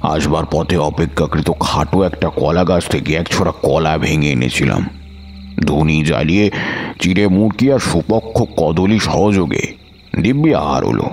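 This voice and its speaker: A man playing a calm, devout wandering tantric mystic who narrates his own story in a measured, reflective way for an audio drama. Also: very deep voice, slightly rough, heavily acted. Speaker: first-person narrator.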